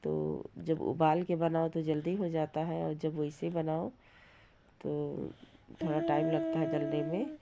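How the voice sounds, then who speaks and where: A woman with a hoarse voice from a cold, giving a plain, rambling, casual voice-over for a home cooking vlog. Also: low voice, animated, unhurried, warm tone. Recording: dull lo-fi sound, light background noise.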